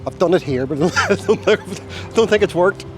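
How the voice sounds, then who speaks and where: An Irish man narrates a casual walking tour as he goes, chatty and rambling, with self-deprecating asides. He sounds a little chesty. Music plays clearly underneath his voice. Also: high voice, expressive, normal speed. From Ireland. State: chesty, with a tickly cough. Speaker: travel vlogger. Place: outdoors walking through narrow old-town streets.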